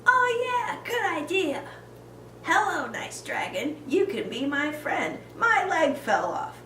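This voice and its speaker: A woman's voice: very high voice, very animated, takes it slowly, sharp tone, slight background noise, voice pushed up, heavily acted. A woman putting on a mocking impression of a silly, naive young Viking man, gushing and goofy. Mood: mock cheerful. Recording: echoey room, distant mic.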